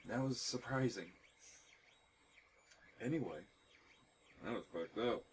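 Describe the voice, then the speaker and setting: A man's voice: mid-range voice, animated, slow, slight background noise. A man streaming gameplay with casual, blunt commentary and offhand, sweary reactions.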